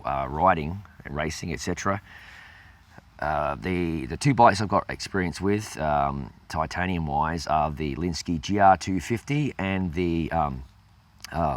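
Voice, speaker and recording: Aussie guy, low voice, bit raspy from a cold, dry close sound, lots of ums. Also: no echo. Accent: Australian. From Adelaide, South Australia. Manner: relaxed, answers questions with many ums and uhs. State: recovering from a head cold, slightly raspy. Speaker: host. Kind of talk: casual Q&A video.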